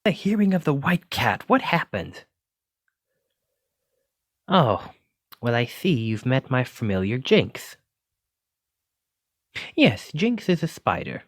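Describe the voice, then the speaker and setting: A man's voice: medium pitch, big pitch swings, slow pace, long pauses, no echo. A man voicing a clumsy, kindly wizard in an ASMR roleplay, courteous and apologetic, stammering when flustered. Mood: gentle, delighted, then sheepish, reassuring. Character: clumsy, kindly wizard bookseller teaching magic. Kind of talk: ASMR roleplay addressed to listener.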